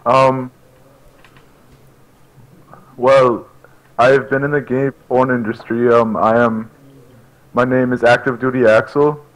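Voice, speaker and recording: A man's voice: medium pitch, flat delivery, slow pace, pauses often, poor audio. A man calling into a live show, giving short, hesitant answers in casual chat. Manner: terse, hesitant.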